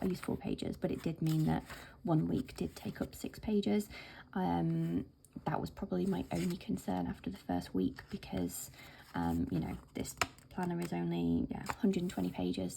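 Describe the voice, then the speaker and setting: A woman with a hoarse, croaky voice from being ill, chatting casually to camera about her planner with plenty of fillers. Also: on the low side, a little hiss or hum. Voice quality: croaky, whispery. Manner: chatty, relaxed, many fillers. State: hoarse from illness. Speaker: planner vlogger.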